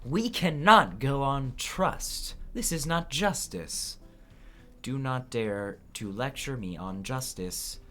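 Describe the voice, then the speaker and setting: A man's voice: medium pitch, expressive, slow pace, a bit bright, faint music behind. A man voicing an imperious fantasy judge in a grand, declamatory way for a game read-along. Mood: stern, commanding. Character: imperious judge presiding over a fantasy trial.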